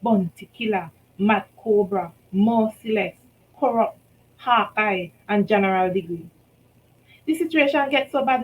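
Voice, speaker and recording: Woman, Vincentian accent, fairly high voice, author reading her story, rhythmic creole telling. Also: a little hiss or hum, low-quality recording. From St. Vincent and the Grenadines. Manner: rhythmic Creole storytelling with lively shifts in level. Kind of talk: reading a short story aloud.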